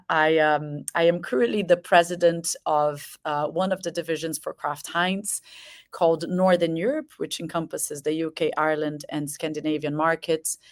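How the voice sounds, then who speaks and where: A woman in her forties from Brazil, a company president introducing herself as a webinar guest, speaking in a measured way with frequent fillers. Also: sits low. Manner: measured, with many uh and um fillers and repeated words.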